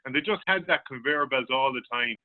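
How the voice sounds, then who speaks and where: A man's voice: mid-range voice, fairly quick. A man with a County Clare Irish accent, speaking conversationally and colloquially as a sports journalist in a radio discussion. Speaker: sports journalist, panel guest. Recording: phone-like, narrow-band sound.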